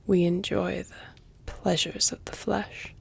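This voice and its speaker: Woman as a creaky-voiced, flirtatious ancient vampire lady. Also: lowish voice, level tone, heavily acted. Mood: seductive, teasing. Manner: measured, teasing, formal, slipping into breathy near-whispers. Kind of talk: intimate audio roleplay, scripted dramatic acting. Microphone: close, dry studio-style mic.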